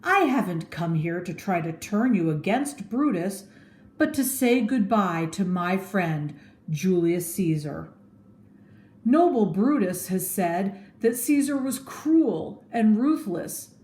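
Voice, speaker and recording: A woman's voice: medium pitch, sing-song, takes it slowly, a little mellow. A rousing Roman statesman, bold and full of grief, acted out by a woman reading aloud. The storybook oratory is theatrical, and the room rings with echo. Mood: mournful, pointed. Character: Mark Antony, bold grieving Roman man, rousing orator. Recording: echoey room.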